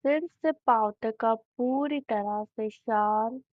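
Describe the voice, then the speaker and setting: A woman with an Indian accent narrating a guided meditation in a soothing, unhurried manner, leaving long pauses between phrases. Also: on the high side, no echo. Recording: thin, phone-like narrow band.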